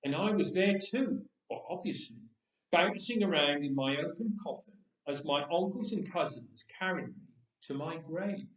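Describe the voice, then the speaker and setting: A man's voice: a little high, lively intonation, normal speed, close to an everyday voice. Man reading as a candid young boy, echoey room. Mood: candid, chatty. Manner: chatty, matter-of-fact, confiding. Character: candid thirteen-year-old boy recalling his own death. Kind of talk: first-person storybook reading. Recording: echoey room, distant microphone.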